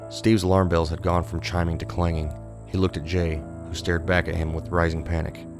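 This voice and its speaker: A man narrates horror fiction in a very low voice. The delivery is scripted and suspenseful, with little movement in pitch, and music plays clearly underneath. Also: little pitch movement, fairly quick.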